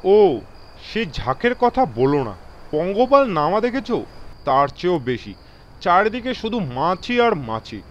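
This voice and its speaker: Man acting an animated, high-pitched railway guard, alarmed and emphatic. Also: unhurried, dull audio, a little hiss or hum. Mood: disturbed. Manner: emphatic, mixes English phrases into his speech. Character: alarmed, authoritative railway guard telling of strange events. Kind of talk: dramatised horror audio story.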